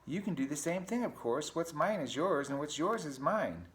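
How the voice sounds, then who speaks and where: A man's voice: fairly high pitch, big pitch swings, normal speed, pitched above the performer's own voice. A man voicing a cheery, pushy male pack rat neighbor in a breezy, carefree way. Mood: chirpy.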